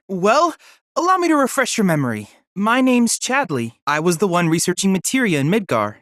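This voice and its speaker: Eager, polite boy cyborg researcher, lively pitch. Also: no echo, studio quality. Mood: chipper, courteous. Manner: formal, precise, courteous, chipper. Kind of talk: scripted video game character dialogue.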